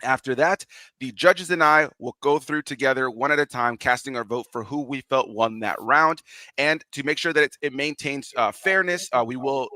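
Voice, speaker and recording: A man hosting a casual online panel show, sniffly from allergies, talking in a relaxed, chatty way with frequent restarts. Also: mid-range voice, moderate pace, dry recording. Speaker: host.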